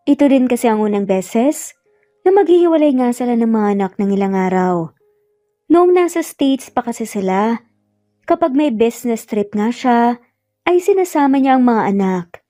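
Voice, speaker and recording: A woman's voice: on the high side, mellow, dry recording, studio quality. A woman with a Filipino accent reading a scripted romance story as narrator.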